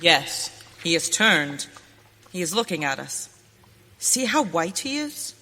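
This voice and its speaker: A woman's voice: fairly low pitch, very animated, slow pace, bright, forceful tone, exaggerated voice. A woman playing a brave, determined masked western hero in radio theater, speaking in an earnest, commanding way. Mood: awed, gentle. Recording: hall reverb, background noise, clicks.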